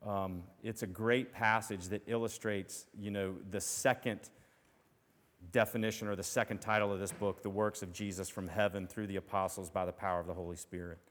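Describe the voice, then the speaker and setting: A man preaching a sermon as pastor. He speaks earnestly and encouragingly, with a loud voice and many fillers and restarts. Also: lowish voice, fairly even intonation, moderate pace. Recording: long hall reverb.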